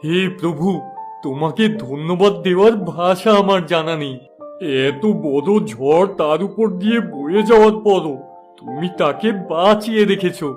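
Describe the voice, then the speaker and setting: A man's voice: on the high side, moderate pace, darkish tone, soft background music, natural voice. A man playing an earnest, devout holy man in a cartoon fairy tale. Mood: grateful, resolved.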